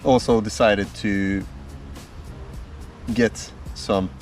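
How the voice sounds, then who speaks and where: A man, talking outdoors in the woods as a drumming vlogger, easygoing and unhurried. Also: fairly low pitch, fairly even intonation, a little mellow. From Slovakia. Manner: slow, easygoing storytelling with small pauses. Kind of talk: casual self-introduction to camera. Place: outdoors in woodland. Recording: distant mic with faint music behind.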